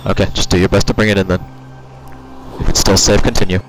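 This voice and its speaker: A man working as a race engineer, giving calm, brief instructions over team radio. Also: low voice, fast, low-quality recording. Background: music, car noise.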